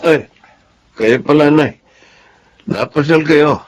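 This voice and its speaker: An actor playing a meek, patient Filipino husband and son in a radio drama, speaking in a mild, deferential, excusing way. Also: male voice, on the high side, slow pace, background noise. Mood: weary, grateful.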